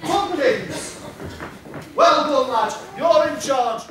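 A woman playing a pompous, lovestruck gentleman in an amateur stage pantomime, declaiming in an exaggerated way. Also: high voice, animated, slow pace, full character voice. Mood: bossy. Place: theatre stage, filmed from far off. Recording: echoey noisy theatre, distant microphone.

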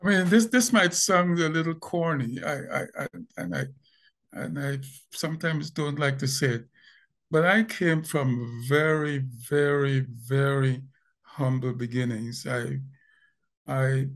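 An older man from Guyana with a Guyanese accent, speaking as a guest in a video call interview, thoughtful and pausing, often repeating words as he searches for them. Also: mid-range voice, fairly bright tone. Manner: slow, thoughtful, with frequent pauses and repeated words. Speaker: linguist guest. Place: home room indoors. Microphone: video call webcam.

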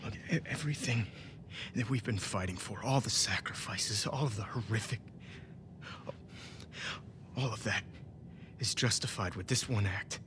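An actor playing a middle-aged American doctor and devoted father, gentle but resolute, in a naturalistic video game style. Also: lowish voice, moderate pace, long pauses, background noise, lightly acted. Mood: grave, insistent. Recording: music under the dialogue.

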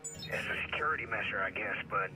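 An actor voicing a cocky, crude, easygoing middle-aged hacker in a video game, folksy, slangy and wisecracking. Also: male voice, lowish voice, expressive, brisk, close to an everyday voice, low-quality recording. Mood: conspiratorial. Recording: loud music over game dialogue.

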